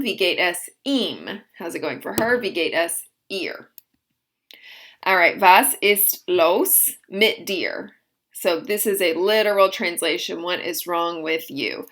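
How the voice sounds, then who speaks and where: A woman teaching a language lesson, slowly modeling each phrase and then explaining it. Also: lowish voice, expressive, fairly bright tone. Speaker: German teacher. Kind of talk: recorded vocabulary lesson.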